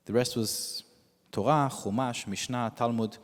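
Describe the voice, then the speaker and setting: Man, rabbi, native Yiddish speaker, sermon, mid pitch, pauses and fillers, reverberant hall. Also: steady tone. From United States. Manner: measured storytelling with frequent pauses and fillers. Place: synagogue sanctuary with long reverb.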